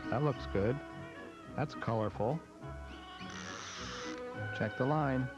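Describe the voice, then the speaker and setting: A man of middling pitch narrates simply for a children's television film. The sound is a dull old TV soundtrack, with music clearly playing behind his voice. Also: slow pace.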